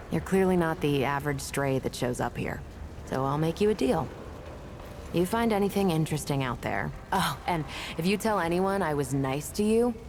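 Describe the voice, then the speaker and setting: An actress playing a gruff, prickly weapons tinkerer, an impatient loner in a video game, with blunt sardonic delivery. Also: female voice, sits low, background noise. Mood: grudging, threatening. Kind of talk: video game character dialogue.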